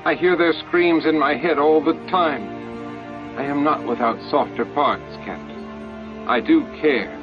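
A man's voice: on the high side, unhurried, dull audio, pitched above the performer's own voice, close to an everyday voice. A proud male alien leader, as acted out by an audiobook reader, speaks with formal, earnest emphasis. He is defensive about his people, and music plays behind him. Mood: pained, defensive. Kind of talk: audiobook character dialogue.

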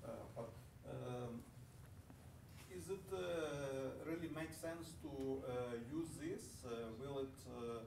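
Halting man asking from the audience. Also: medium pitch, vocal fry. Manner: halting, many "uh" pauses and restarts. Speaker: audience member asking a question. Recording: distant, roomy, noisy audience mic.

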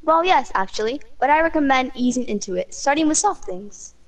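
A woman's voice: sits high, expressive, fairly quick. A woman voicing a helpful, nerdy female lizard monster scientist in a comic dub.

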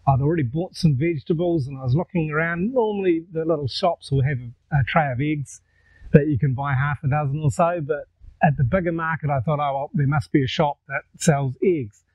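An older man with a New Zealand accent talks easily to camera, telling stories in a relaxed, chatty way with a slightly raised voice. The lapel recording sounds narrow and tinny, like a phone line. It is dry, with no room echo. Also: on the high side, brisk, slightly rough. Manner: relaxed, conversational, gestures while talking. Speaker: vlogger. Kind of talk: casual storytelling to camera. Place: outdoors in a garden. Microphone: lapel mic.

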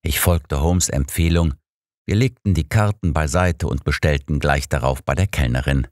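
Deep-voiced man narrating an audiobook. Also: moderate pace, darkish tone, no room sound, studio quality. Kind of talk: scripted audiobook narration of a detective story.

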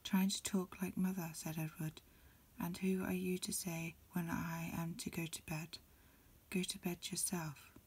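A woman reading a storybook in a breathy ASMR whisper, softly and unhurriedly, phrase by phrase. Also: on the low side, little pitch movement, a little slow. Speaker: amateur reader. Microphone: lo-fi home recording.

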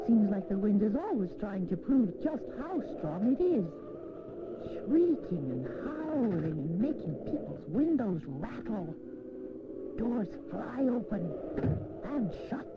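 A woman narrating a fable for children as a storyteller, in an expressive, deliberate, scripted style. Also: mid-range voice. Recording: noisy old 16mm educational film soundtrack.

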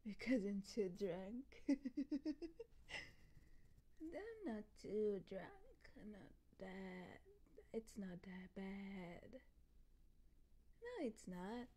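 A woman voicing a happy, goofy, drunk girlfriend in a roleplay, soft and often near a whisper, rambling and repeating herself. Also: medium pitch, animated. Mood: sleepy, protesting. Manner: rambling, halting, repeating words, giggly. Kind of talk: ASMR roleplay, voicemail monologue.

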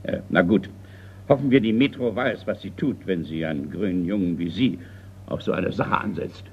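An actor playing a gruff, impatient old colonel in a German radio crime drama, blustering and hesitating. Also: male voice, low-pitched, moderate pace. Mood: grumbling. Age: older. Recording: muffled, noisy old radio recording.

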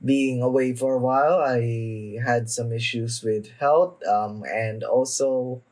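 A man's voice: medium pitch, steady tone, a little mellow. A young adult man who is a little under the weather, giving casual, hesitant reaction commentary as a video host. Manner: halting, hesitant delivery. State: somewhat sick with a cough. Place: small indoor room at home.

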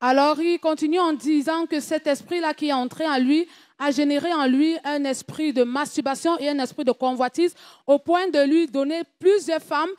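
High-voiced woman interpreting in French. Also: moderate pace, a little mellow, no room sound. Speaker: church interpreter.

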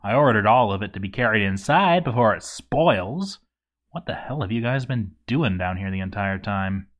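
A man's voice: on the low side, lively intonation, normal speed, pitched below the performer's own voice, natural voice. A man voicing a grouchy, boozy zombie dwarf overseer in a game journal story, stammering and exasperated. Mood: rambling, grumbling. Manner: stammering, exasperated, hammy delivery. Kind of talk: dramatic reading of a game journal.

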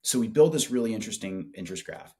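A man in his forties making a casual, enthusiastic product pitch in conversation, speaking colloquially on a video call. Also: animated, quick pace, dark tone. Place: small office with whiteboard, indoors.

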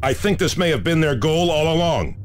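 A man's voice: fairly high pitch, animated, a little fast, a little hiss or hum, lightly acted. A man playing a stern, dutiful space marine sergeant in a video game, grave and formal. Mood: firm, dutiful. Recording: loud music and battle sounds behind.

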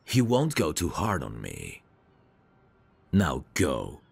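Man playing a very deep, gravelly protective mobster. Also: huge pitch swings, slow pace, no echo, full character voice. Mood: exasperated.

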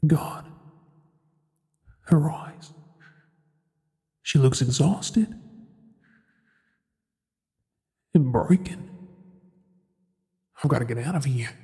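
An Australian man playing a troubled, remorseful young man in an ASMR audio drama, with a husky, breathy voice. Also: medium pitch, slow, long pauses, dark tone, no room sound, studio quality, exaggerated voice. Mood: shaken, grave.

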